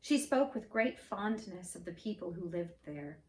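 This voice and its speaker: A woman's voice: fairly low pitch, normal speed. Echoey storytime narrator, woman. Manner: gentle, expressive read-aloud. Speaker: storyteller in costume. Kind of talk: reading a fairy tale aloud. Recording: roomy echo, mic at a distance.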